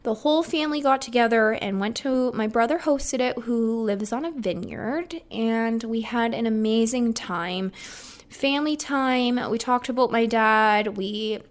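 A woman's voice: a little high, measured pace. Chatty upbeat woman hosting a podcast. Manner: chatty, upbeat, rambling with restarts. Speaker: podcast host. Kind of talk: casual solo podcast chat.

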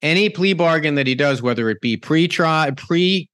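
A man's voice: a little high, expressive, normal speed, fairly bright tone. A man hosting a talk radio show, with a conversational, emphatic delivery that often restarts mid-sentence. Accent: New York. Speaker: radio host.

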